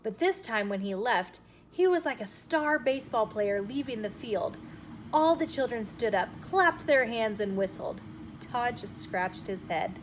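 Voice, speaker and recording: A woman storyteller reading a children's chapter book aloud in an expressive, emphatic way. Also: on the high side, a little slow, low-quality recording. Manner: animated, emphatic phrasing with acted dialogue. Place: inside a bookshop. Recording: noticeable room noise behind the voice.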